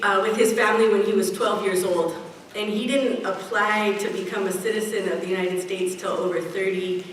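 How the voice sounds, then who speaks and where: A middle-aged woman giving a prepared speech at a community event, measured and earnest, slowing down to stress key phrases. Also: on the low side. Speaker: event speaker. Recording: echoey hall, picked up from a distance, faint hiss.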